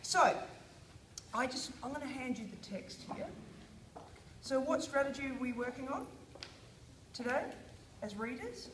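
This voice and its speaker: A woman's voice: sits high, expressive, a little slow, pauses often, reverberant, background noise. A woman with an Australian accent, teaching a small group of children a reading lesson, prompting them with questions and encouragement. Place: classroom.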